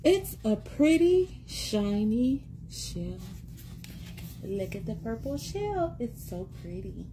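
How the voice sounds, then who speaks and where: A woman in her thirties reading a picture book aloud to young children in an expressive, sing-song, encouraging way, repeating words for them. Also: a little high, slow, background noise. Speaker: children's read-aloud host and teacher. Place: small indoor room at home. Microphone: phone or tablet at arm's length.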